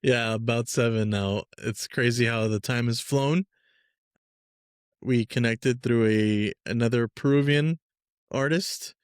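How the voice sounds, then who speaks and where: A man from Peru hosting a casual podcast interview, relaxed and upbeat, talking loosely with fillers. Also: medium pitch, slow pace, smooth, no echo, studio quality. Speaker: podcast host.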